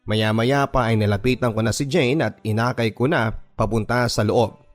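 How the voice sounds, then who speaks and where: A man with a voice of ordinary, mid-range pitch reads a listener's letter for a radio drama. He tells it in first person at an earnest, measured pace, with soft music playing underneath. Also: steady tone. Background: faint background music.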